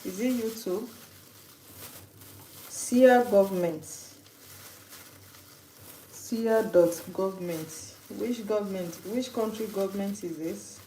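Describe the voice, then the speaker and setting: Slow-talking Nigerian woman in an echoey kitchen. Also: mid-range voice, mellow. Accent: Nigerian English. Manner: chatty and teasing, with frequent restarts. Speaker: live-stream cooking host. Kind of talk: casual live-stream chat, reading out viewer comments. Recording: echoey room with background noise.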